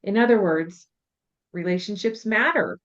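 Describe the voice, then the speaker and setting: A woman podcast co-host with a measured, affirming manner, introducing topics and agreeing warmly with her partner. Her pitch is middling. The recording sounds a little dull and lo-fi. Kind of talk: reflective podcast conversation.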